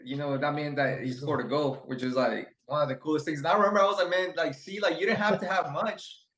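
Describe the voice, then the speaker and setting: A man's voice: fairly high pitch, fairly even intonation, quick pace, fairly bright tone, echoey room. A young adult man from Ecuador, a guest chatting casually and rambling with plenty of fillers and restarts. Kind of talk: casual interview chat.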